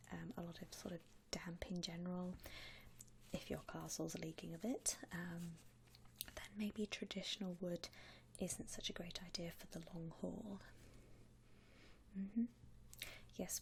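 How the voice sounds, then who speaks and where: Over clear background noise, a woman whispers very slowly, close to the mic, in a breathy ASMR voice. She plays an accommodating shop assistant, gentle and reassuring, with a slightly low pitch for a woman. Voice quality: breathy, mostly unvoiced whisper. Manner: gentle, hesitant, reassuring salesperson patter. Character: polite, accommodating female coffin shop assistant. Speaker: ASMR roleplayer playing a coffin shop saleswoman. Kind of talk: whispered ASMR customer-service roleplay.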